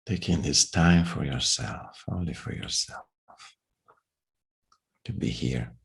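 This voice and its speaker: A man leading a guided meditation in a soft, breathy, hushed voice, unhurried and leaving long pauses. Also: extremely low pitch.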